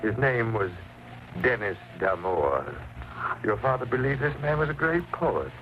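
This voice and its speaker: An actor in an old-time radio thriller playing an eerie madman poet who speaks deliberately and cryptically. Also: mid-range voice, sing-song, dull audio. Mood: grave, haunted. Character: eerie slow-spoken madman poet, perhaps a ghost. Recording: band-limited, noisy vintage radio recording.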